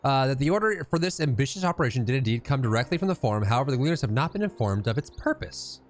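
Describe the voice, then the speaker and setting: A man's voice: mid-range voice, animated, very fast, natural voice. A man voicing an earnest, polite young woman scholar from a fantasy game, formal and bookish. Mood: thoughtful.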